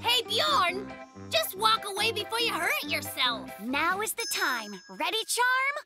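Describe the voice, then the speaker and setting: A very high, childlike cartoon bear cub, swooping up and down with showy bravado. The little daredevil talks big and theatrically, over loud music. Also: very animated, a little slow, heavily acted. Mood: bragging, eager. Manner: theatrical, exaggerated showman delivery. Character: boastful, excitable young bear cub who rides stunt bikes. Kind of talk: animated children's cartoon dialogue.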